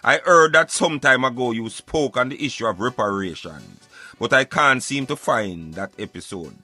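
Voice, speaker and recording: A man's voice: mid-range voice, lively intonation, moderate pace, bright, forceful tone, faint music behind. A man with a Jamaican accent hosting a talk show in Patois, giving emphatic, repetitive commentary peppered with catchphrases. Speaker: radio show host.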